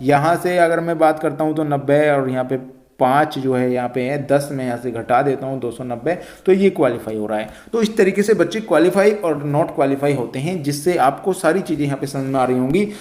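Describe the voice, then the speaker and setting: A man's voice: mid-range voice, brisk, a little mellow. A man teaching exam students, explaining step by step and repeating himself as he goes. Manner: repetitive, step-by-step explaining, often addressing listeners as children. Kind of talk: explanatory lesson addressed to students. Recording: echoey, roomy sound.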